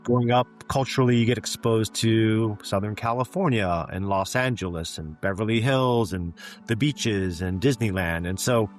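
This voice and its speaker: A middle-aged Canadian man telling his life story as an interview guest, in a measured, reflective way with frequent "um" and "you know.". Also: lowish voice, faint music behind. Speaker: guest, physician executive.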